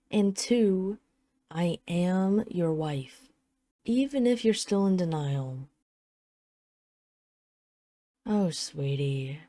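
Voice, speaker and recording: A woman's voice: on the low side, mellow, no echo, studio quality. A woman playing a haughty, teasing dragon queen in an intimate audio roleplay, with an imperious, sarcastic, mock-sweet manner. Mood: teasing, condescending. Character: haughty, teasing dragon queen, possessive of her human pet husband. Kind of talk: intimate scripted audio roleplay addressed to the listener.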